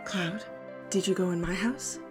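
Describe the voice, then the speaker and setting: A woman's voice: on the low side, unhurried, music in the background, close to an everyday voice. An actress playing a smitten young woman in a game parody, feisty, defensive and easily flustered. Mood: indignant.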